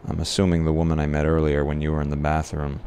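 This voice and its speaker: A man playing a tabletop roleplay game, improvising casually with hesitant pauses mid-sentence. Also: very deep voice, monotone, warm tone. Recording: noisy live stream.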